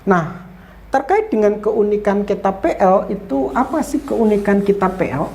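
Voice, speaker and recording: A woman's voice: mid-range voice, lively intonation, moderate pace, dark tone, slight background noise. A woman giving an informal lecture as a Bible teacher, explaining point by point and repeating herself with small check-in tags. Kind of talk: informal lecture explaining Old Testament introduction.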